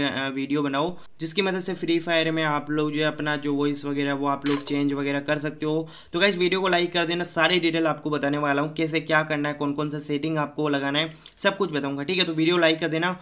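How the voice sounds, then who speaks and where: A man's voice: on the high side, fairly even intonation, a little fast, few pauses, a bit bright, clean tone. A young man giving a casual step-by-step phone tutorial, talking informally to viewers as friends and often repeating little filler phrases. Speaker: tech tutorial YouTuber.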